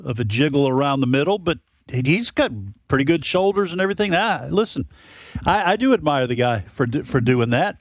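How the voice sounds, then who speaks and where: Animated American man, lively radio banter. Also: on the high side, fairly quick, no echo. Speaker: radio show co-host. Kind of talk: casual panel chat.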